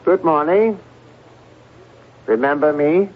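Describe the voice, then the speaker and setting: An actor playing a calm, solicitous psychiatrist in a 1970s radio drama, gentle and deliberate. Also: male voice, on the high side, slight background noise. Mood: calm, reassuring. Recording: thin, phone-like old radio broadcast.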